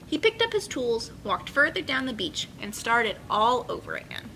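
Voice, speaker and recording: A young woman hosting a children's storytime, speaking in an expressive, encouraging way as she reads and instructs. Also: normal speed. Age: young adult. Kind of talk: picture-book reading and friendly instruction for children. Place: indoor children's room with carpeted steps. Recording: clear room noise, low rumble.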